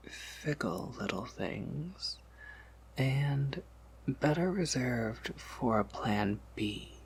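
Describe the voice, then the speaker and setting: A man voicing a gentle, wry vampire apothecary in a breathy ASMR whisper, musing softly and reassuringly. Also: medium pitch, slight background noise, low-quality recording. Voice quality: breathy whisper with creaky edges. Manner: gentle, reassuring, hesitant musing with soft hums. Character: soft-spoken, patient, wry male vampire apothecary, collector of oddities.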